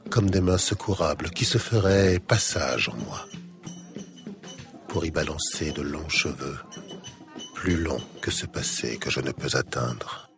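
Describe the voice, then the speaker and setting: A man reciting literary verse in a measured, solemn manner. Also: a bass voice, expressive. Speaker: reader. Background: music.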